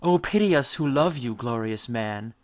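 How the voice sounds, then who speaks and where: A man voicing alluring female sirens in a storybook, enticing in a slow, sing-song manner. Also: mid-range voice, animated, close to an everyday voice. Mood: coaxing, yearning. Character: alluring female sirens, coaxing sea temptresses.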